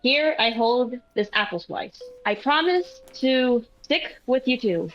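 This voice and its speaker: A woman playing a tabletop role-playing game, improvising her character's actions and lines with halting, hesitant phrasing. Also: a little high, animated, dull audio, soft background music. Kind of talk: casual improvised role-play narration.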